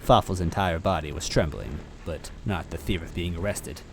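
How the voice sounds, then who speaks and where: A man's voice: low-pitched, normal speed, noisy background. A man narrating a story aloud in a dramatic first-person style.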